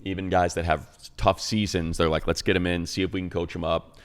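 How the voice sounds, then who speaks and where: A middle-aged man with a very low, gravelly voice breaks down football on a sports podcast. He talks in an emphatic, conversational way and leans on fillers as he builds his points. He sounds like a seasoned radio announcer. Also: level tone, fairly quick. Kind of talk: casual sports podcast analysis.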